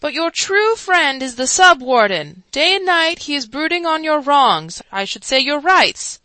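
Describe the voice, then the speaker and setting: A woman voicing a fussy male court chancellor with a thin, squeaky voice and a pompous, flustered way of making speeches. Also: sing-song, unhurried, sharp tone, no room sound, full character voice, low-quality recording.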